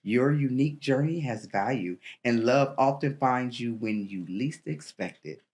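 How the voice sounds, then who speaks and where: A woman in her sixties hosts an interview podcast. She keeps up a steady stream of affirmations while her guest talks, then reads a scripted sign-off. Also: a bass voice, unhurried. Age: 60s. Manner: warm affirmations, reads closing remarks. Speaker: podcast host.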